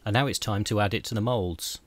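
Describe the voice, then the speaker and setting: Brit guy, lowish voice, steady how-to voiceover for a workshop build. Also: fairly quick. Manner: calm, methodical step-by-step explanation. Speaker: woodworking channel host. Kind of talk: scripted how-to voice-over.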